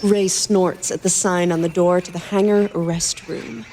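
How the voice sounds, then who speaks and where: A woman's voice: lowish voice, steady tone, measured pace, dark tone, a little hiss or hum. A woman co-hosting a podcast, moving between banter and narrating stories aloud. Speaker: podcast co-host and musician.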